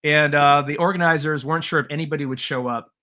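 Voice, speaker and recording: Man, middle-aged, from Taiwan, bright voice, fairly high, earnest storytelling, lots of uh fillers. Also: fairly even intonation, fast. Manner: earnest, frequent "uh" fillers, light laughs. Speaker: podcast guest, leadership trainer. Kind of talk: relaxed interview storytelling.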